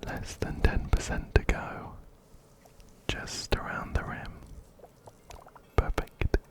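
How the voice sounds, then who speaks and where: Male ASMR gaming host, close-miked whisper, unhurried and soothing, gently repetitive narration. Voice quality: whispered, breathy, unvoiced. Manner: soothing, repeats words and phrases softly. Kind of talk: ASMR game playthrough, reading dialogue and narrating. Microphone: close-up binaural ear-to-ear mic.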